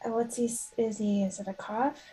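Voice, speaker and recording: A woman playing a tabletop roleplaying game, chatting informally and haltingly as she thinks aloud. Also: fairly high pitch, fairly even intonation, dark tone. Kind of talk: casual chat during a tabletop game.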